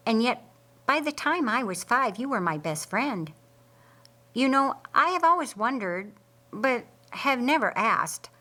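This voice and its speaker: A woman voicing an earnest, curious, easily flustered man in a story, speaking haltingly. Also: a little high, pushed and bright, exaggerated voice.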